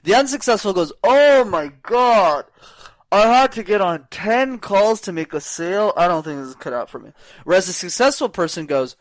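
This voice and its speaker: A young man chatting energetically as a guest coach. His pitch sits a little high and swings widely as he acts out little voices. The sound is close and dry. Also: lively intonation, normal speed, dry recording, poor audio. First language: not English; speaks French as second language. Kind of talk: animated podcast conversation.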